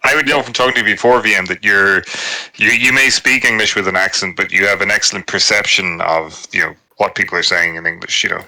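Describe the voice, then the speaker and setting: Low-ish guy with a really bright sharp tone, lots of "like" and "you know". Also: fairly even intonation, quick pace, gravelly, low-quality recording. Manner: filler-heavy, explains with examples. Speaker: podcast participant. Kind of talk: casual podcast chat.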